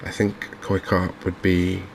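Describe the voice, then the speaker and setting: A soft-spoken man giving unhurried ASMR commentary on a video game. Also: low voice, monotone, gravelly, noisy background. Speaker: gaming video host.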